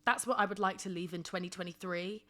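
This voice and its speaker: A woman's voice: on the low side, steady tone, fast, bright, forceful tone. A woman in her twenties chatting casually as a solo podcast host, emphatic and sassy, often repeating phrases for comic effect. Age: 20s. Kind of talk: casual solo chat with humorous rants.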